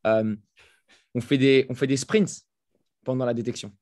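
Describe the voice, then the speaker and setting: A French man who just turned thirty, guest on a podcast, speaking in a halting way full of fillers and restarts. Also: mid-range voice, fairly even intonation, darkish tone, no echo. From France. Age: 30s. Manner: hesitant, many fillers and restarts. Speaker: guest, fitness coach. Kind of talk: casual interview talk. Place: home in Florida, over a call.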